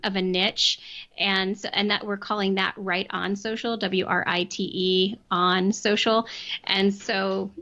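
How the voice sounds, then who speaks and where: A woman chatting as a podcast guest, talking easily with many ums and restarts, her voice a bit worn and clearing her throat now and then. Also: mid-range voice, sharp tone, lo-fi sound. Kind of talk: casual interview chat.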